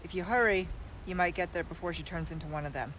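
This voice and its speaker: A woman's voice: on the low side, fast talker, a bit bright, slight background noise, close to an everyday voice, rough recording. A woman playing a cool, self-possessed secret agent in a video game cutscene, wry and controlled. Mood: brisk, wry. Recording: game ambience behind the voice.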